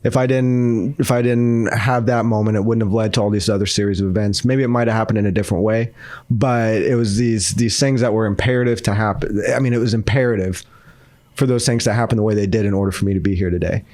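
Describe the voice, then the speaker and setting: A man's voice: lowish voice, steady tone, fast, a little mellow, no echo. A man hosting a podcast, asking questions casually and hesitantly, often restarting his sentences. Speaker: podcast host. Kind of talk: casual interview.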